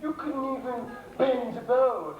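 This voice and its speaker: A man's voice: extremely high pitch, animated, slow pace, dull audio, a crowd in the background, full character voice. Sneering scheming pantomime villain, boastful and mocking, echoey theatre stage, played by a man. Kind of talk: amateur stage pantomime acting. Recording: roomy theatre sound from far off.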